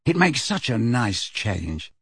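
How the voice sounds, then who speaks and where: A man voicing a sardonic teenage boy in a near-natural low voice. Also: very animated, takes it slowly, fairly bright tone, slightly rough, dry recording, studio quality, exaggerated voice.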